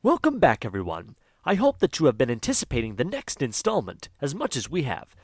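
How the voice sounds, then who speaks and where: A man's voice: medium pitch, wildly swooping pitch, brisk, husky, dry recording, clean studio sound, heavily acted. A man playing a wry, mocking, lonely spirit who narrates an audio drama in a theatrical manner. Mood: sly welcome.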